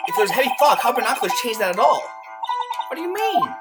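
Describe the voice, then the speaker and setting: A woman voicing a determined, excitable young male defense lawyer in an amateur game fandub. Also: on the high side, quick pace. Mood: insistent. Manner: insistent, probing. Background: loud game music.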